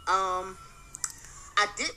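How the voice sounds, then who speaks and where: A woman's voice: mid-range voice, very slow, pushed and bright. A woman giving an enthusiastic, detailed spoken review of a cruise ship.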